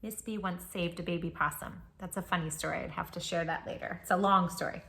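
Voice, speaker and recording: A woman's voice: fairly low pitch, fairly even intonation, fairly quick, gravelly, a bit bright. A woman hosting a read-aloud for young children, speaking expressively and emphatically. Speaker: children's storytime host. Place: indoors, room at home.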